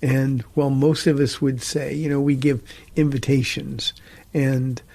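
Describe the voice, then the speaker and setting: A middle-aged man, a pastor hosting a talk show, teaching from the Bible in an earnest, halting way with frequent restarts. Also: medium pitch, little pitch movement, creaky, a little mellow.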